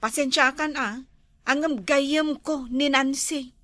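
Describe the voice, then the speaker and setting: A woman's voice: high-pitched, fairly even intonation, slow, fairly bright tone, no echo, clean studio sound. A young woman playing a gullible village sweetheart who talks in a bubbly, easily surprised way. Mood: chatty.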